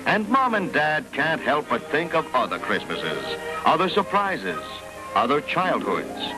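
A man's voice: fairly high pitch, low-quality recording. An American man reading a dramatic, poetic scripted narration in a storytelling manner. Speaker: film narrator.

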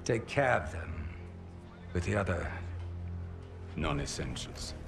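A man playing a cold senior Nazi officer in a war game, gravelly, deliberate and authoritative. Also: extremely low pitch, lively intonation, heavily acted. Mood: cold, commanding. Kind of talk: dramatic game cutscene acting. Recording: music under the voice.

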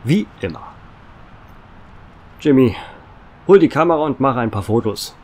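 Man as a weary, animated small-town sheriff. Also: mid-range voice, unhurried. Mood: brisk, dismissive. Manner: authoritative, sardonic. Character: weary, firm small-town male sheriff, dryly sarcastic. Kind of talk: amateur audio drama acting.

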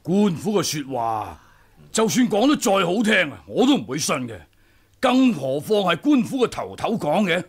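An actor playing a gruff, suspicious old martial-arts master with a husky voice and a blunt, grumbling manner in a radio drama. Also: male voice, high-pitched, very animated, normal speed, exaggerated voice. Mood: scornful, defiant. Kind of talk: Cantonese radio drama acting.

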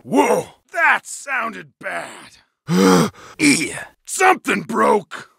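A savage berserker warrior from a video game, shouting taunts in a bright, high, gravelly voice. His pitch leaps wildly and he drags out each word. The sound is dry and close, with no room around it. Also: huge pitch swings, extremely slow, dry recording, full character voice. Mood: fierce. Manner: bellowing, taunting battle shouts. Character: brutal bearded male berserker warrior. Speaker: game character. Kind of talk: video game combat barks.

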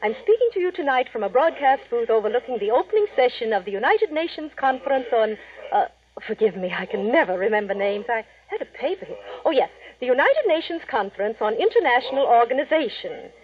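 High, swooping, gushing gossip columnist. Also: female voice, sing-song, quick pace, hardly any pauses. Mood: gushing, breezy. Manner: chatty, name-dropping, rambling, self-important. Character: gushing, scatterbrained female Hollywood gossip columnist on air. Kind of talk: 1940s radio acting. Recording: dull vintage radio recording.

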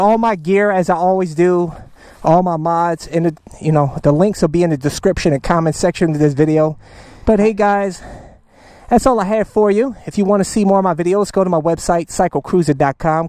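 An enthusiastic man talks straight into a helmet camera from a parking lot, hyping cheap riding gear in a loose, salesy way. His voice sits a little high for a man, and the sound is dry and close with no room around it. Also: darkish tone, no echo. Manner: enthusiastic, salesy, slangy with "man" and "baby", many fillers. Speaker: motovlogger reviewing gear. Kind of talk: casual moto vlog review. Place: outdoors in a parking lot beside his motorcycle.

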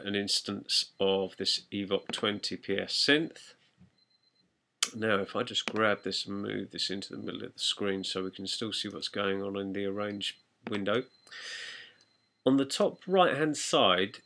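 A man with a slightly low, bright and forward voice leads a software tutorial. He works as a music production tutor and explains things step by step in an instructional way.

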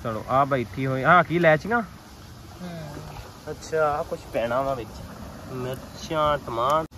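A man's voice: mid-range voice, unhurried, noisy background. A young man from Punjab, teasing and joking in casual chat as he vlogs cooking outdoors at a farm. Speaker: vlogger. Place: outdoors by a farm tubewell, village fields.

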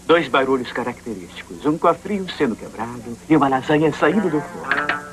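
A man voicing a lazy, sarcastic, food-loving house cat in a cartoon dub, droll and deadpan. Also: medium pitch, brisk, lightly acted.

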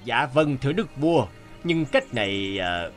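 Man acting a grave, measured court sorcerer. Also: medium pitch, sing-song, faint music behind. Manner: deferential, hesitant, measured.

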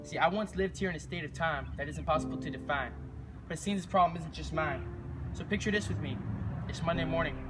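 A man's voice: on the high side, quick pace. A man in his twenties performing rhymed spoken word poetry with a rhythmic, earnest and emphatic delivery. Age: 20s. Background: music bed.

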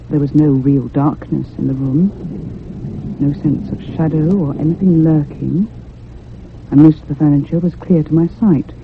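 A woman playing an anxious narrator recounting a haunting in a radio drama, in a husky, literary manner. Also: deep voice, a little hiss or hum. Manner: literary, measured storytelling. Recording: tinny, band-limited old radio sound.

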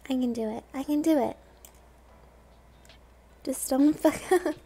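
A woman's voice: high voice, unhurried, mellow. A woman streamer giving casual, playful and self-deprecating commentary while she plays a video game.

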